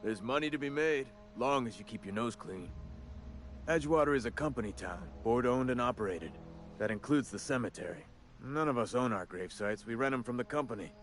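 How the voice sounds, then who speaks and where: An actor playing a folksy, shady undertaker in a game, drawling and conspiratorial, with music behind him. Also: male voice, medium pitch, expressive, brisk, lightly acted. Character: folksy undertaker man, middle-aged, shady and businesslike. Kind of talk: game dialogue. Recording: game audio with background music.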